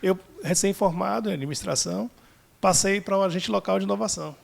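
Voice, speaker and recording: A man from Salvador with a Bahian accent, a guest talking through his career in a relaxed, friendly way. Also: sits high, fast talker, gravelly. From Salvador, Brazil. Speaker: guest, business analyst. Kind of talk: casual podcast interview.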